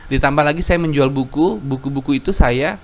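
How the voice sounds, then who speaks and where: A man's voice: a little high, brisk. Earnest Indonesian man telling his story. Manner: earnest, rambling, self-correcting. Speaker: YouTube religious storyteller. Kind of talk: reading a viewer's letter, then personal testimony.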